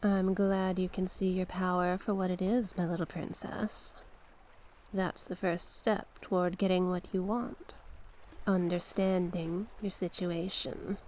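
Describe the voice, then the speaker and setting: A woman playing a mysterious banished sea witch in an ASMR roleplay, coaxing and knowing, with a teasing, deliberate manner. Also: on the low side, little pitch movement, darkish tone, lightly acted, low-quality recording. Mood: sly, teasing. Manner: slow, teasing, deliberate, coaxing with pet names. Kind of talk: ASMR character roleplay monologue.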